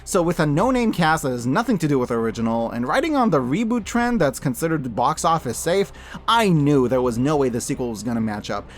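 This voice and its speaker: A man in his thirties giving an opinionated scripted review commentary, sarcastic, animated and emphatic in his delivery. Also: a little high, brisk, slight background noise. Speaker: video host reviewing films.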